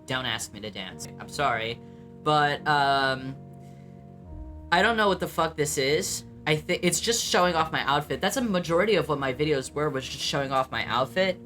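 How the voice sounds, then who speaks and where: A young man with a light voice carrying some bass, reacting to his old videos in casual talk, blunt and self-mocking, swearing freely and filling pauses with ums. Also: bright, forceful tone, soft background music. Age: young adult.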